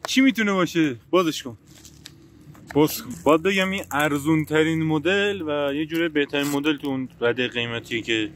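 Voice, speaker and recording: A man's voice: on the high side, fairly bright tone, a little hiss or hum. A young man vlogging an unboxing with a friend, joking and playful in casual chat. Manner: playful, jokey, talks over his friend. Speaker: vlogger unboxing a walkie-talkie.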